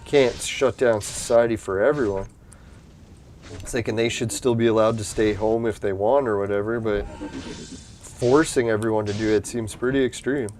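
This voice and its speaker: A man's voice: on the low side, steady tone, moderate pace, darkish tone, a little hiss or hum. A young man, rambling casually while he streams a game. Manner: rambling, chatty, swears and laughs. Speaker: gaming streamer. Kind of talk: casual gameplay commentary.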